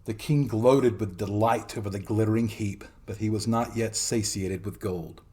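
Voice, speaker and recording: A man narrating a fairy tale as a storytelling host, in a theatrical, expressive dramatic reading. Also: on the low side, moderate pace.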